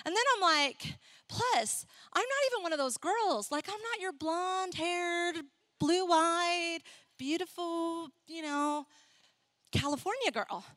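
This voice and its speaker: A young adult woman who grew up in Ecuador, preaching and telling her own story in a candid, animated way. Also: extremely high pitch, no echo, clean studio sound. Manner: animated, candid storytelling with laughs and acted asides. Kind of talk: sermon with personal story.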